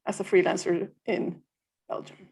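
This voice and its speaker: A woman in her thirties from Finland, a native Swedish speaker, talks about her work in a plain, matter-of-fact way. Her voice sits fairly low and has a gravelly, creaky edge, with small "uh" pauses between thoughts. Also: little pitch movement, darkish tone. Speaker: guest, freelancer community co-founder. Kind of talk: podcast interview.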